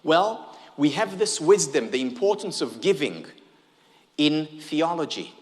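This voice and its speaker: Lively man lecturing in a hall. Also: on the high side, a little slow. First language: Hebrew. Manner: animated, engages the audience with questions and humor. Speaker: keynote speaker. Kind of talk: conference keynote lecture.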